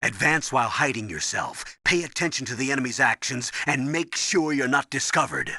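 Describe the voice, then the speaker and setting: An actor playing a stern older military commander, giving authoritative, urgent briefings in a video game with a husky voice. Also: male voice, medium pitch, lively intonation, brisk, pushed and bright. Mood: instructive. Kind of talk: video game voice acting, tutorial instructions.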